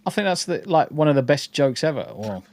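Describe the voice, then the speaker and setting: A man's voice: fairly high pitch, big pitch swings, a little fast, a little mellow. A man co-hosting a casual podcast livestream, joking and swearing freely while greeting viewers in chat. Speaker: podcast co-host.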